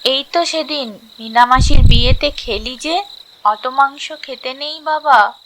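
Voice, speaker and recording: A woman playing a firm, mildly scolding village mother in an animated story. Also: sits high, unhurried, background noise. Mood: firm, motherly.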